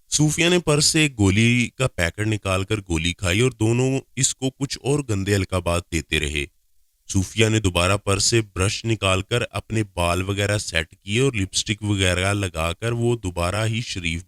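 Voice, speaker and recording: A man's voice: fairly low pitch, fairly bright tone, clear, resonant tone, no room sound, clean studio sound. A man reading a scripted first-person story aloud as a narrator.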